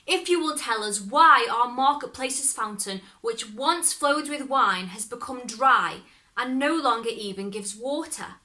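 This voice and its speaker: A woman's voice: on the high side, animated, a little slow, pushed and bright. A woman voicing an earnest male town gatekeeper in a storybook, emphatically pleading for help with a puzzle.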